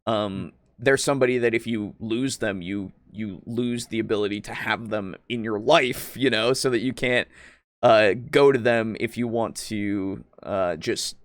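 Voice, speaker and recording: A man's voice: lowish voice, unhurried, no echo. A man co-hosting a casual tabletop roleplaying discussion, rambling and searching for words, with some casual swearing. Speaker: podcast co-host.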